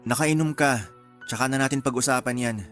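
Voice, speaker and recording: An actor playing a terse, gruffly caring young man in a radio romance drama. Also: mid-range voice, steady tone, brisk, fairly bright tone, soft background music, lightly acted. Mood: dry, firm. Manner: terse, matter-of-fact.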